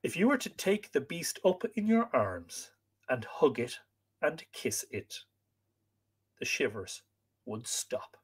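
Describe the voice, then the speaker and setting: A man playing humble storybook manservant, husky, hesitant, deferential, doubtful. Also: mid-range voice, animated, unhurried, hardly any pauses, fairly bright tone. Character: humble, doubtful male servant to an angry master. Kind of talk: animated storybook reading.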